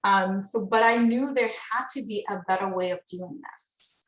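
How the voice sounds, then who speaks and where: A woman from Lithuania speaks English with a noticeable Lithuanian accent, presenting a business webinar. Her delivery is earnest and a little halting, and she often restarts phrases. The room around her rings with echo. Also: medium pitch, highs cut off. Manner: earnest, halting, with self-corrections.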